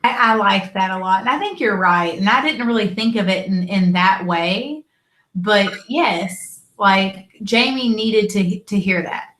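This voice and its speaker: A woman's voice: medium pitch, unhurried, fairly bright tone, a little hiss or hum. Southern woman co-host, chatty review talk, roomy echo around her voice. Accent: Southern American. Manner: chatty, acts out quotes, frequent "like" and "you know". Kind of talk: casual review chat.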